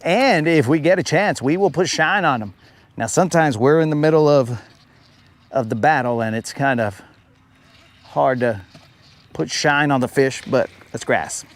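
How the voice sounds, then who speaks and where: A man's voice: on the high side, measured pace. A man hosting a live fishing stream outdoors on a lake. He chats casually, reads viewer comments aloud and often says "bro". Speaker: fishing livestream host.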